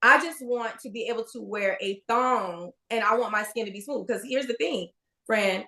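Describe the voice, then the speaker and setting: A woman's voice: on the high side, normal speed, sharp tone. Candid chatty woman in her forties. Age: 40s. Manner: candid, chatty, lots of fillers and restarts. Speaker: podcast co-host, registered nurse. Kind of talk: casual chat.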